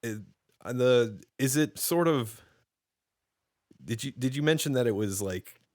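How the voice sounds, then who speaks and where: A man hosting a podcast interview over a video call, asking rambling, halting questions full of restarts. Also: mid-range voice, lively intonation, dry recording, studio quality. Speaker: podcast host. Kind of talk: casual interview chat.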